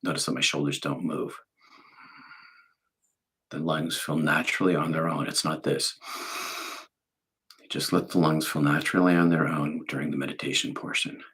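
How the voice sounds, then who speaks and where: A man with a faint Boston accent, a meditation teacher giving a calm, unhurried, soft-spoken guided meditation talk. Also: rumbling low, breathy edge.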